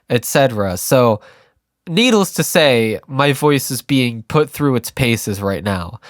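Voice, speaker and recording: A young man with a hoarse, stuffed-up voice rants with blunt, profane sarcasm. His pitch swings widely as he talks, and the recording is close and dry with no room sound. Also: dry recording. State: hoarse and congested from a sinus illness. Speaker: YouTube ranter. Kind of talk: casual rant to camera.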